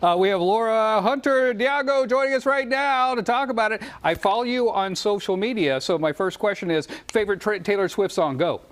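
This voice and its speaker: A man with a fairly high-pitched voice hosts a morning television interview. He is effusive and conversational, often doubling up on short phrases as he talks. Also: a little fast, hardly any pauses. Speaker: TV morning show host. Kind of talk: enthusiastic broadcast interview chat.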